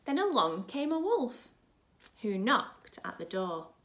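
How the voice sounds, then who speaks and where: A woman reads a picture book for young children in the manner of a primary teacher. Her voice is bright and forceful, and her delivery is expressive and unhurried. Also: fairly high pitch. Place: small furnished room at home, indoors.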